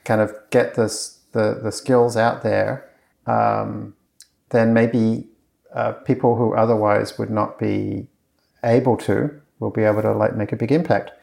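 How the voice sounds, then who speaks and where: Gravelly low-voiced man, chatting loosely. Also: level tone, measured pace, darkish tone. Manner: rambling, heavy on fillers and restarts. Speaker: course creator being interviewed. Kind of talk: casual conversation.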